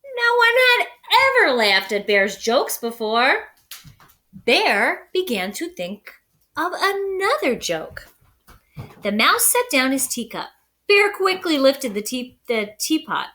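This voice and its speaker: A woman reading a children's story aloud in an expressive, deliberate, dramatic way with pauses. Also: high-pitched. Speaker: storybook reader.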